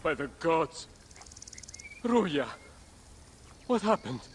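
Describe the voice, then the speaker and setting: Peremptory game scholar: older, demanding, higher-set voice, very lively intonation, faint ambient chirring. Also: male voice, very slow, a little hiss or hum, exaggerated voice. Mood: alarmed. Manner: expressive, peremptory. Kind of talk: dramatic video game cutscene acting.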